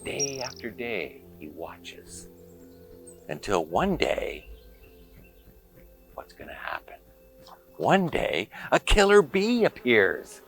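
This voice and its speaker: A man reading a picture book aloud to children in an expressive, dramatic storytelling manner. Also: mid-range voice, gravelly, faint music behind. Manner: expressive, dramatic pauses and exclamations.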